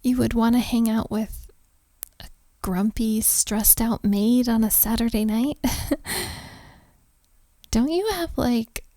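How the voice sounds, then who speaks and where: A flustered young hotel maid, acted by a woman in an intimate audio roleplay. She speaks very slowly and haltingly, with a creaky edge in a fairly high voice. She is shy and self-deprecating, softly giggly as she warms to a stranger. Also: no room sound, studio quality. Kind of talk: intimate audio roleplay, one-sided conversation.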